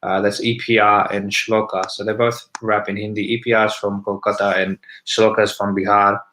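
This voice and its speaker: Bright, low-pitched Australian man musing. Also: poor audio. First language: Punjabi. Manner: reflective, rambling with frequent "you know" and restarts. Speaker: guest musician. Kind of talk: casual interview chat.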